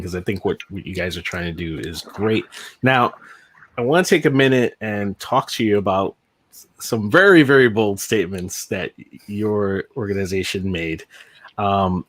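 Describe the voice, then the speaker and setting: A man's voice: fairly low pitch, slightly rough. A man hosting a live online interview over a video call, with an upbeat, joking manner. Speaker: host.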